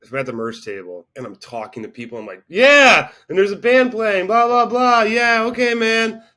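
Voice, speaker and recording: A man's voice: high-pitched, animated, moderate pace, bright, forceful tone. A young man, a band's singer, chatting casually in an interview, rambling with lots of fillers and self-deprecating asides. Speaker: band singer, interview guest.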